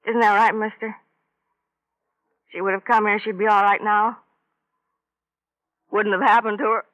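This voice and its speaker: A woman's voice: mid-range voice, level tone, normal speed, fairly bright tone, lightly acted. An actress playing a drunken, bitter party girl in a radio crime drama, with slurred, rambling talk. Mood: dazed, grieving. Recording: muffled old radio broadcast recording.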